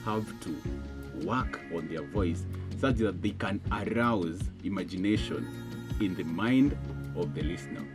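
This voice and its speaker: A Kenyan man explains in an interview setting. His voice is a little low, and faint music plays underneath. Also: measured pace.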